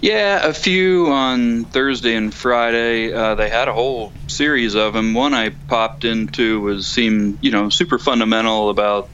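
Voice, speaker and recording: A man's voice: medium pitch, a little slow, hardly any pauses, a bit bright, dull audio. Folksy man calling in, noisy line. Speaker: guest correspondent calling in to a motorcycle podcast. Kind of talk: casual interview chat.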